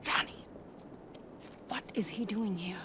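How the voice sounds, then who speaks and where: An actress playing a wary, loyal Indian spy in a video game, with an Indian accent and an urgent, hushed delivery. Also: female voice, fairly even intonation, takes it slowly, noisy background, natural voice. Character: wary, loyal Indian female spy reporting to her queen. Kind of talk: dramatic video game acting. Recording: rumbling game ambience behind the voice.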